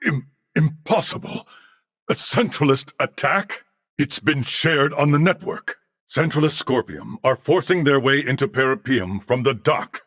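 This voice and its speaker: A man voicing a courteous, thoughtful humanoid merged with machine intelligence in a video game, with formal, measured, earnest diction. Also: medium pitch, fairly bright tone, dry recording, clean studio sound. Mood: alarmed. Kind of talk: scripted video game character acting.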